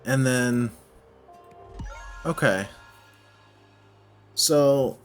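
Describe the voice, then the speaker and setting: A man giving casual gameplay commentary, thinking out loud in short, halting phrases. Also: medium pitch. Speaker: let's play host. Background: music.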